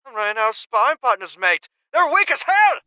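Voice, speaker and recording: Man playing a brash, high-pitched, bright-voiced fighter. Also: sing-song, brisk, clean tone, dry recording, muffled recording, full character voice. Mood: boastful, worked up. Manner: loud, cocky, blunt, swearing. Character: brash, foul-mouthed, hard-drinking male champion fighter. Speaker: actor in an audio drama. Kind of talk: audio drama acting.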